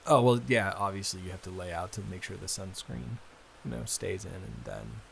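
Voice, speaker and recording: A man playing an awkward, earnest young man in an improvised intimate roleplay, hesitant, rambling and quick to apologize. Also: low-pitched, animated, creaky, a bit bright, a little hiss or hum, heavily acted. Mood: awkward, chatty. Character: awkward, earnest, smitten young man, best friend's brother.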